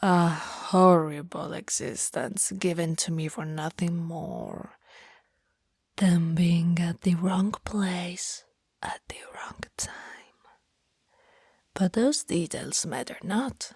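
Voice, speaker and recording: Girl doing an ancient lovesick vampire woman, lowish whispery voice, super close and dry, formal old words, sad asmr roleplay. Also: a little mellow, no echo, exaggerated voice. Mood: tender, adoring. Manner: breathy whispering, slow, theatrical, archaic formal phrasing with sighs. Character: centuries-old female vampire, tormented, tender, lovesick. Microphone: close mic, dry.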